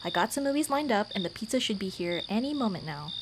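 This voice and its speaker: A woman's voice: medium pitch, sing-song, quick pace, noisy background, lightly acted. A woman playing a young tomboy childhood friend, casual, teasing and caring, in a scripted ASMR roleplay. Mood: playful. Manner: casual, teasing, addresses listener as dude. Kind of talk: ASMR roleplay, scripted one-sided conversation.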